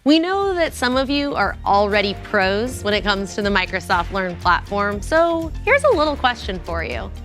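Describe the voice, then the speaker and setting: Woman, event co-host, bubbly, playful, lively pitch. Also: normal speed, hardly any pauses, soft background music. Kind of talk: upbeat live-stream hosting.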